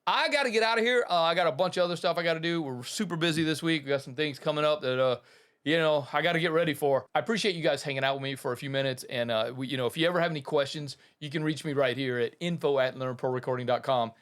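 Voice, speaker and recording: A man's voice: a little high, quick pace, a bit bright. A man, talking casually to viewers as host of an audio production channel and then reading out a short story. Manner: rambling and chatty, many fillers and restarts, keeps saying "man".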